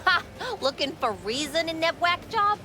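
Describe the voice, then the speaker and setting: An actress playing a sarcastic, foul-mouthed market food vendor in a video game, blunt and slangy. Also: female voice, extremely high pitch, expressive, moderate pace, background noise. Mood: scornful. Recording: music behind the voice.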